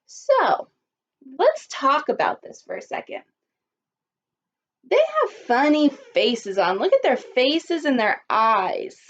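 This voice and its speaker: Higher-voiced woman teacher, dull lo-fi recording. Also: takes it slowly. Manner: expressive, asking the listeners questions. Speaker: primary school teacher. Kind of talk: children's storytime read-aloud with asides to listeners.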